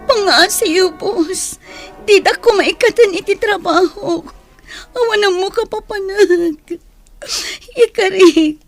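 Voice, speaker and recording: An actress playing an envious, scheming female office worker in an emotive radio drama, given to drawn-out pleading. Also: very high voice, soft background music, exaggerated voice. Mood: tearful pleading. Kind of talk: Filipino radio drama acting in Ilocano.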